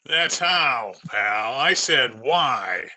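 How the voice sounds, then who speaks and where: A man's voice: mid-range voice, animated, very slow, pushed and bright, exaggerated voice. An actor playing a sadistic, taunting gunman in an old-time radio crime drama, with drawled tough-guy slang. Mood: menacing, mocking. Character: sadistic male gunman, taunting drunken killer.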